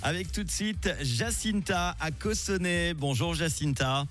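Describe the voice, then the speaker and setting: A man's voice: fairly high pitch, a little slow, a bit bright, soft background music. A man hosting a radio quiz game, playful and laughing often. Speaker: radio host. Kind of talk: lively radio game show.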